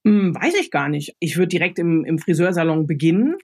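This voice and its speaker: A woman's voice: fairly low pitch, fairly quick, a little mellow, husky. A woman with a German accent thinks aloud as a radio play author, giving casual creative advice with examples.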